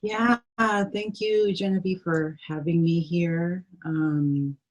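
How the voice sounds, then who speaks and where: A woman from the northern Philippines, a guest in an interview, speaking slowly and thoughtfully with many pauses. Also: fairly low pitch, steady tone, rough recording. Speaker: guest, herbalist and organizer. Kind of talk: reflective interview talk. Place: home, in the Bay Area.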